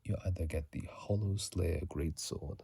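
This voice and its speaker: A person whispering ASMR commentary about a video game in a husky, breathy, halting way, recorded on a phone microphone. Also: low voice, dark tone. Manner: hesitant, halting, repeats words. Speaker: host of an ASMR channel.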